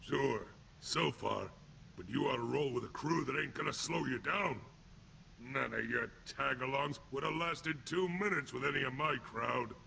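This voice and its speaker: An actor playing a crude, sneering alien thug and ex-convict enforcer in a video game, taunting in blunt street-gangster slang with a rough voice. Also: male voice, mid-range voice, a little slow, sharp tone, a little hiss or hum, full character voice. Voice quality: gravelly, rough. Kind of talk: video game character acting.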